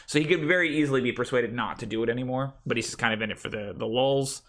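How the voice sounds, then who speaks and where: A man with a deep voice, a game master thinking aloud about his campaign in a casual, rambling way with many fillers. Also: fast talker, a bit bright. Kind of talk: casual prep talk about running a tabletop campaign.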